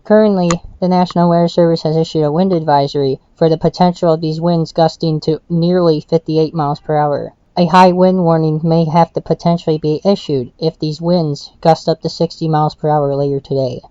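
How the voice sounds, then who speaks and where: A woman's voice: low voice, fairly even intonation, fairly quick, few pauses, mellow, no echo. A woman presenting a scripted weather bulletin in the style of a television news update. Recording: dull lo-fi sound.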